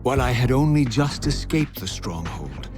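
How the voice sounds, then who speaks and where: A man's voice: medium pitch, sing-song, moderate pace, close to an everyday voice. A man playing a solemn, vengeful undead wraith in a video game, with formal, theatrical diction. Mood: solemn, brooding. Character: vengeful, solemn male undead wraith narrating his quest. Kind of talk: scripted dramatic video game narration and dialogue. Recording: background music under the voice.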